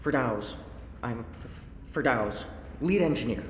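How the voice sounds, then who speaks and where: A flustered, stammering engineer, voiced by a man, whose higher voice swings wildly as he trips over his words. The game audio sits in a roomy space with a long reverb tail. Faint ambience hums underneath. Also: animated, unhurried, a little hiss or hum, lightly acted. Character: nervous stammering male lead engineer.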